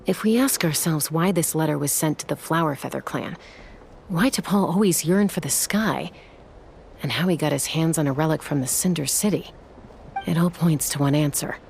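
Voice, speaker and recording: A level-headed low-voiced heroine. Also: female voice, gravelly, darkish tone, slight background noise. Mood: deductive. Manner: measured, deductive.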